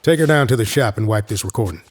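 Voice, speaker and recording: A man's voice: fairly low pitch, lively intonation, fast. A man playing a cold, commanding investigator in an audio drama, speaking in clipped, curt phrases. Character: cold, controlling man in authority, secretive investigator.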